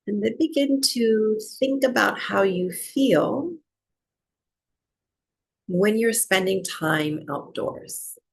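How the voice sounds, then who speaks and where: A woman teaching breathwork, guiding a meditation in short, unhurried phrases with long pauses between them. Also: mid-range voice, vocal fry, breathy edge, low-quality recording. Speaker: breathwork and yoga nidra teacher. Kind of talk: guided meditation, slow soothing instruction.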